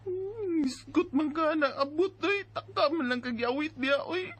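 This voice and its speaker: A woman's voice: high-pitched, unhurried. A woman playing a defiant, sulky sixteen-year-old younger sister with a Filipino accent in a radio drama, snapping back dismissively. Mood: sullen.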